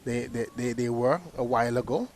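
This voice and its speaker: A man's voice: mid-range voice, a little fast, a little mellow, slight background noise. A man giving live cricket commentary in a chatty way, often repeating words as he restarts a sentence.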